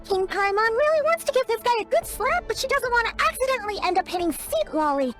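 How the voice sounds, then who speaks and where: A tiny, childlike game fairy, pipes up in an extremely high, squeaky voice. The delivery is exclamatory and bouncing, naive and easily indignant, with music behind it. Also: female voice, sing-song, fast talker, fairly bright tone, exaggerated voice. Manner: squeaky, exclamatory, speaks of herself in third person. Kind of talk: video game voice acting.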